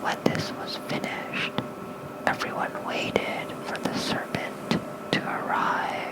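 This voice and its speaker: ASMR host for sleep content: fully whispered, unhurried reading, soft and casual, close on the mic. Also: poor audio. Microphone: close fluffy-covered microphone.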